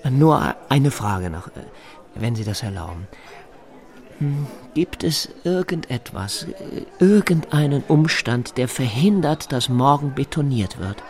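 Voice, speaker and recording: Radio play, stubborn snoop: mid-pitched, wheedling, mock-courteous, quietly menacing. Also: male voice, very animated, unhurried. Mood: probing. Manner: insistent, needling, mock-polite. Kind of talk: radio drama dialogue.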